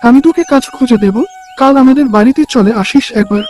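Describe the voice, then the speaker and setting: A man's voice: very high voice, fast, a little mellow, soft background music, pitched above the performer's own voice. A man voicing a helpful young village friend in a cartoon, eager and concerned, with a friendly manner. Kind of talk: Bengali cartoon story dialogue.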